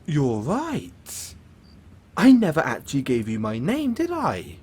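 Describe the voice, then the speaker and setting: A man's voice: mid-range voice, breathy edge, full character voice. A man playing a theatrical, boastful supervillain who gets flustered and stammers, in an audio roleplay monologue. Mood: awkward, sheepish. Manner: pompous, stammering, dramatic swoops and villainous chuckles.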